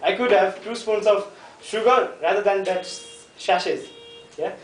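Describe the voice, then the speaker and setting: Young guy presenting in class, pretty high voice, echoey room, mic far off. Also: a little slow, slight background noise. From Nepal. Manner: hesitant, jokey, addresses the class. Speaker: student presenter.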